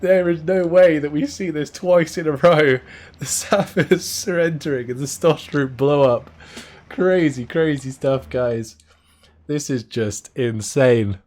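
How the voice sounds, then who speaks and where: Hoarse, excitable male game caster. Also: on the high side. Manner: excitable, quick bursts and frequent laughter at surprising plays. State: hoarse, losing his voice. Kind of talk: live play-by-play commentary of a strategy game match.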